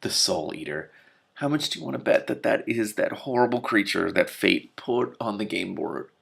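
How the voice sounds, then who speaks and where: A man with a fairly low, gravelly voice reads a fantasy novel aloud. His delivery is expressive, and he drops into casual commentary along the way. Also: moderate pace. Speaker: reader and commentator.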